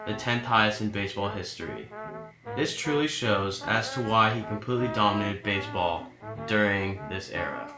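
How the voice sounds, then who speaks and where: A man's voice: on the low side, normal speed. A man reading scripted sports history narration for a newsreel. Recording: echoey room sound. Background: brass and guitar music.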